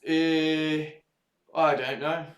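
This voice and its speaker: A man co-hosting casual race commentary, chatting in relaxed, slangy banter. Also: on the high side, very slow. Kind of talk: casual sports chat on a stream. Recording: roomy echo.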